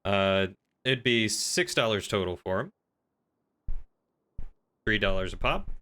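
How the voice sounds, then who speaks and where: Affable male tavern keeper, acted by a man for game roleplay: clear, bright, courteous, folksy. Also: on the low side, animated, takes it slowly, exaggerated voice. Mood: genial, obliging. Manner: polite, folksy, hesitant small talk.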